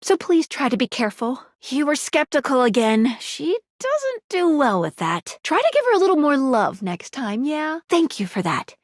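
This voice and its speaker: A perky video game guide, a living pair of magic glasses with a high, girlish pitch. The delivery is quirky and earnest, swinging widely in melody, and recorded close and dry. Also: normal speed, few pauses, dry recording. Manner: quirky, expressive, chatty, with cute interjections.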